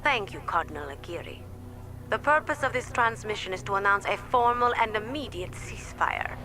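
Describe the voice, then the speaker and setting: An actress playing a composed, calculating leader of a futuristic military corporation, making a formal announcement. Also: female voice, medium pitch, expressive, normal speed, pushed and bright, soft background music. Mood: composed, official. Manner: formal, official, controlled. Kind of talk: scripted official announcement in game cutscene.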